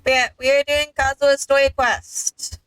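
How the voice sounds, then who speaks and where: A woman's voice: high voice, level tone, normal speed, a bit bright. A young adult woman chatting casually over a game, eagerly explaining details as a co-host. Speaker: gaming co-host. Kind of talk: casual gameplay chat.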